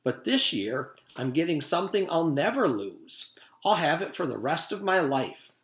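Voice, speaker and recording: A man acts out an earnest schoolboy from a storybook who cannot make up his mind. The voice sits high and jumps around with griping worry, in a roomy, echoing space. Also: very animated, normal speed, a bit bright. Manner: griping, muttering, repeating himself. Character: indecisive, earnest, fretful schoolboy. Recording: roomy echo.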